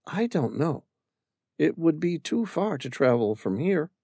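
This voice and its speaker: Male narrator as a guarded, resolute woman alone abroad; raised pitch, slow, wary delivery. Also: big pitch swings, mellow, husky, no room sound, studio quality, full character voice. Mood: cautious. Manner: wary, quick to shut down.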